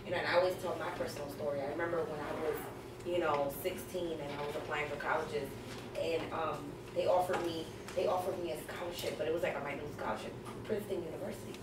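A woman's voice: deep voice, fairly even intonation, fast. A woman in the audience of a conference, commenting earnestly and telling a personal story. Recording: echoey room from far off, noisy.

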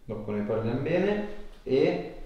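A man's voice: mid-range voice, big pitch swings, a little slow, dull audio. A man in his thirties working as an osteopath, talking casually with a patient and giving short instructions. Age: 30s. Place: small bare clinic treatment room. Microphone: clip-on lapel mic. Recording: echoey small clinic room.

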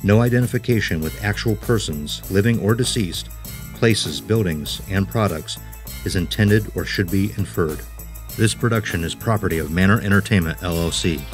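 A man reading a scripted outro and credits as announcer, formal and measured. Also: low-pitched, faint music behind.

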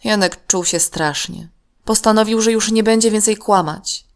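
A woman narrating a children's story from a script in an expressive, clearly enunciated way. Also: medium pitch, normal speed.

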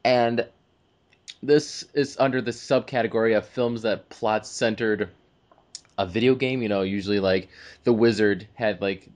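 A man's voice: lowish voice, fairly even intonation, measured pace, darkish tone. A man co-hosting a casual discussion in a chatty, informal way. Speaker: podcast co-host. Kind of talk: casual film and game discussion.

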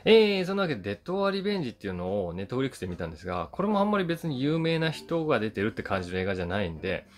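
A man's voice: medium pitch, sing-song, very fast, hardly any pauses. A man in his forties chatting casually to camera as he reviews a film, conversational and emphatic. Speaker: YouTube host reviewing films, voice actor. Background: background guitar music.

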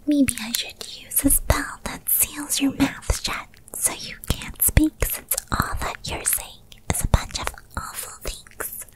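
A woman's voice: high voice, fairly even intonation, takes it slowly, rough recording. A woman playing a whispering, possessive witch girlfriend. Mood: scolding. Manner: breathy, cooing, coaxing yet controlling. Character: possessive, lovestruck young female yandere witch girlfriend. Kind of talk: whispered girlfriend ASMR roleplay.

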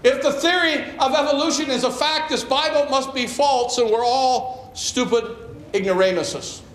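A man's voice: very high voice, normal speed, fairly bright tone. A man preaching a sermon as a pastor, emphatic and declarative, in a church. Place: church sanctuary with a congregation. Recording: roomy, echoing church with some noise.

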